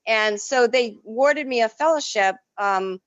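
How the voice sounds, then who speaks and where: A woman whose first language is French, an artist telling stories in an effusive, expressive way as an interview guest. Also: a little high, unhurried, no room sound, lo-fi sound. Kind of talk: casual interview.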